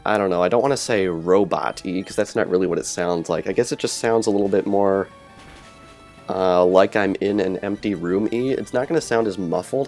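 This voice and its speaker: A man with a fairly low voice gives loose, rambling commentary over a video game, often trailing off and rephrasing himself. He records at home on a cheap earbud headset mic. Game music plays faintly underneath. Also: a little mellow. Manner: rambling, self-correcting, chatty. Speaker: let's play commentator. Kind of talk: casual gameplay commentary. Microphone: cheap earbud headset microphone.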